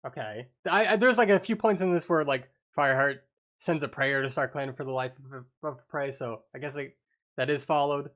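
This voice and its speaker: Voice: mid-range voice, normal speed, a bit bright. Podcast host with a mid-range voice, chatting casually and reading stuff out to the group. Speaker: host of a book club podcast.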